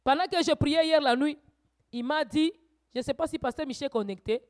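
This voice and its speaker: A woman's voice: high voice, fairly quick. Woman preacher exhorting a congregation. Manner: preaching with rising, shouted exhortations and quieter asides. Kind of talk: sermon teaching. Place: church.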